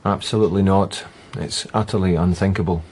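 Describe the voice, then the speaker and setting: A man's voice: low voice, steady tone, brisk, darkish tone, slight background noise, natural voice. An actor playing a prim, woman-hating male suspect in a radio drama, speaking formally and hesitantly. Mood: stiff, defensive.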